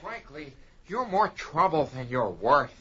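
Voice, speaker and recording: An actor playing a hot-tempered, excitable desert trader and bandit in a fantasy audio drama. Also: male voice, fairly high pitch, unhurried, full character voice. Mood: beaten, grudging. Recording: dull lo-fi, loud music behind.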